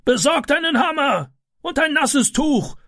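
A man voicing a brave neighbor shouting urgent instructions at a fire. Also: very high voice, animated, measured pace, no echo, studio quality, voice pushed up, full character voice. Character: brave adult male neighbor shouting orders.

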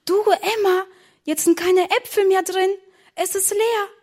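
A woman voicing a cheerful young schoolboy who talks in a lively, confident, childlike way. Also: high-pitched, a little slow, pitched above the performer's own voice. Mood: excited.

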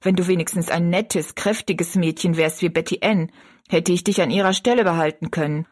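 A woman's voice: on the low side, steady tone, brisk, a little mellow, lightly acted. A woman voicing a harsh, contemptuous stepmother in an audiobook story, stern and cutting. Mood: mocking, scornful. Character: cold, scornful stepmother, harsh mistress of the house.

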